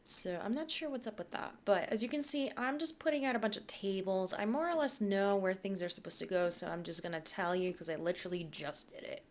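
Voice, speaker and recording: A woman's voice: mid-range voice, brisk, few pauses, a little hiss or hum. A young woman from Poland, chatting casually as a YouTuber while she builds in a game, rambling and thinking aloud.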